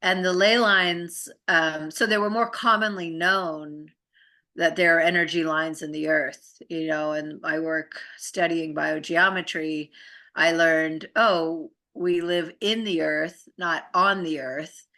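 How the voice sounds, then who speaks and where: A woman's voice: low voice, fairly even intonation, takes it slowly, pushed and bright. A middle-aged woman co-host in a casual chat, explaining things in a matter-of-fact way.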